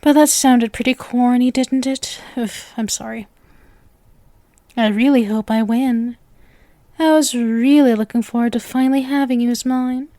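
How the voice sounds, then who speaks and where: A woman's voice: a little high, fairly even intonation, unhurried, warm tone, no echo, close to an everyday voice. A woman voicing a sweet, lovestruck, clingy witch girlfriend in an ASMR roleplay, speaking to the listener in a coy, nervous, gushing and intimate manner. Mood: affectionate, nervous. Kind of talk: ASMR roleplay, scripted acting addressed to listener.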